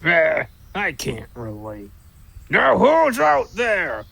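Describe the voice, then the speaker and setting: An actor playing a huge, pushy, boastful squirrel in an audio drama, rough-voiced, with a brash grumbling drawl. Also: mid-range voice, huge pitch swings, slow, a bit bright, heavily acted. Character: huge pushy boastful squirrel who likes to scare travelers. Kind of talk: audio drama character acting.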